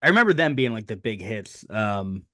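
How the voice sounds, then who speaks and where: A man with a New Jersey accent hosting a casual podcast interview, asking questions haltingly with many fillers and restarts. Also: on the low side, big pitch swings, sharp tone, dry recording. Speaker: podcast host.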